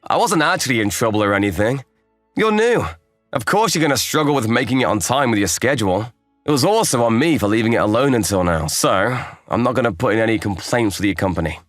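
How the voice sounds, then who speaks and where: A man acts out a young male programmer in an anime-style manga dub, a kindly mentor with an easygoing, reassuring manner. His pitch moves in lively swings, and the recording is close and dry. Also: quick pace, no echo, soft background music, lightly acted.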